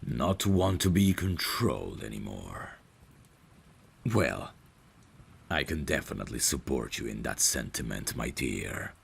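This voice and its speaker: A man acts out a courteous vampire butler in an intimate ASMR drama. His voice is extremely deep and very breathy, with a gravelly creak. He chooses formal, courtly words with deliberate care, devoted and tender with a hint of menace. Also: lively intonation, full character voice. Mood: tender, admiring. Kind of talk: intimate ASMR audio roleplay acting.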